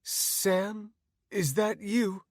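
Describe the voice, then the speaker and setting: Casting: man as scared young woman, high, fragile, pleading, audiobook drama. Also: very slow, no echo, studio quality, full character voice. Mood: groggy. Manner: pleading, tremulous.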